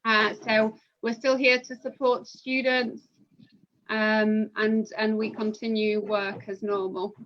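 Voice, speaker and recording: A woman from Poland with a fairly high voice talks in a calm, measured way, pausing often on fillers. She is on a video call from home. The sound is muffled and lo-fi, like compressed online call audio. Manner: measured, hesitant with many fillers. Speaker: university international recruitment manager. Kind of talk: live online admissions session.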